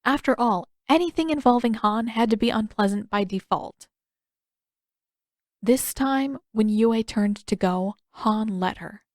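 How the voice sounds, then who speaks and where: A woman reading a story aloud as narrator in an expressive, scripted style. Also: fairly high pitch, a little slow, no echo, clean studio sound.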